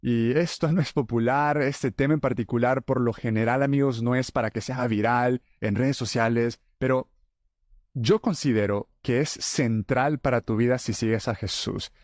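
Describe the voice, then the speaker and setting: A man's voice: mid-range voice, moderate pace, darkish tone, dry recording, studio quality. A man from El Salvador, hosting a faith podcast and earnestly talking straight to his listeners as friends. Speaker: podcast host.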